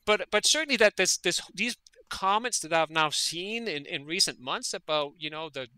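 A man's voice: sits high, expressive, moderate pace, pushed and bright, smooth, no echo. A man from Denmark, a veterinary parasitologist, speaking as a guest in an interview. He talks earnestly and often repeats words as he gathers his thoughts. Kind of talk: conversational interview.

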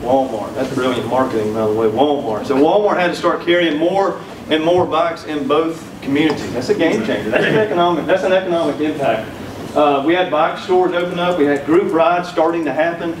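A man with a Southern drawl tells folksy stories at a conference keynote, his voice a little high for a man. He talks into a handheld mic in a meeting room, caught from far back so it sounds roomy and distant. A steady hum of room noise sits underneath. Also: brisk, few pauses. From South Carolina. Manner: folksy storytelling with humor. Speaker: keynote speaker. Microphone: handheld mic, recorded from far back.

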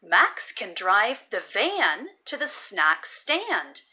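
A woman's voice: mid-range voice, big pitch swings, extremely slow, bright, forceful tone. A woman reading a children's story aloud as host, expressively and emphatically, sounding out words for young learners.

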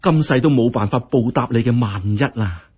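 A man's voice: medium pitch, normal speed, breathy edge, lightly acted. A man voicing a humble, grateful, middle-aged poor woman in a story, earnest and deferential. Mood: humble gratitude. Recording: dull, muffled lo-fi audio.

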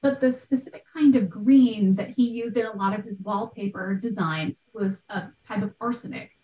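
A woman's voice: medium pitch, normal speed, dark tone. A woman in her thirties thinking aloud as an expert guest in a conversational interview, on a video call from a room at home. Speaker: guest expert, art history podcaster. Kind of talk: conversational question-and-answer interview. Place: small bright room at home. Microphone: video call, computer microphone. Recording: echoey room, distant from mic.

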